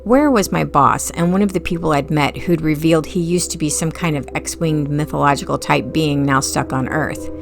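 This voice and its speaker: Woman reading an audiobook, lowish creaky voice, kinda wry n chatty. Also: moderate pace, hardly any pauses, faint music behind. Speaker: audiobook narrator. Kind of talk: scripted first-person fiction narration.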